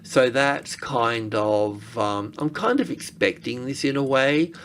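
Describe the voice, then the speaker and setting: A man giving informal technical commentary on charts as an analyst, thinking aloud in a halting way. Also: mid-range voice, animated, a bit bright, slight background noise. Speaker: market analyst presenter.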